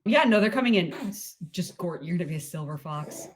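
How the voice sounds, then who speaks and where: A woman's voice: on the low side, a little fast. A woman in her late thirties co-hosting a podcast in casual chat, gushing and laughing often. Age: 30s. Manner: giggly, gushing, lively with frequent laughs. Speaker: podcast co-host.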